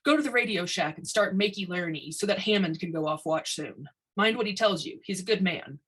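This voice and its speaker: A stubborn, short-tempered male site superintendent played by a woman reading a story, blunt and bossy. The voice is a little husky and gives orders gruffly. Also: lowish voice, expressive, brisk, fairly bright tone. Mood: grudging.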